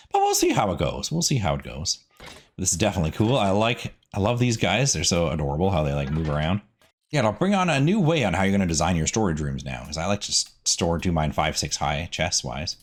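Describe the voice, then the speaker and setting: A man narrates his gameplay in a casual, chatty way. His voice sits on the low side and his pitch moves around a lot. He talks informally, as if thinking out loud while he plays. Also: sing-song, quick pace. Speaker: gaming video host.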